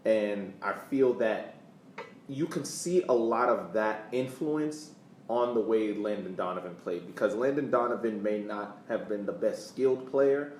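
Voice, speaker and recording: Echoey, lowish-voiced male podcast co-host. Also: unhurried, darkish tone. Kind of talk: casual sports commentary. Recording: echoey room, distant mic, faint noise.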